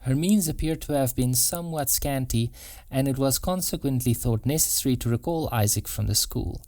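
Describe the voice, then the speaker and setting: A man narrates a non-fiction audiobook in a measured, formal storytelling way. His tone is mellow and relaxed, with a medium pitch. The recording is close and very dry. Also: no room sound.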